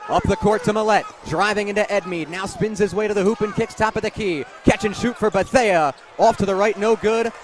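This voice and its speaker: A young man doing animated live radio basketball play-by-play, vividly calling the action and raising his voice on big plays. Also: high-pitched, brisk. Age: young adult. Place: courtside in a noisy basketball arena. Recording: loud arena crowd and bouncing ball. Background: crowd noise, basketball bounces.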